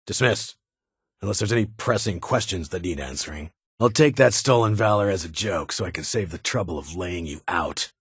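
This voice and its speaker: A man's voice: low-pitched, normal speed, breathy edge, no room sound, studio quality, heavily acted. A man playing a stern, guarded military security chief in a video game, with a clipped, authoritative and brusque manner. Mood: curt, commanding. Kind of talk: video game character dialogue.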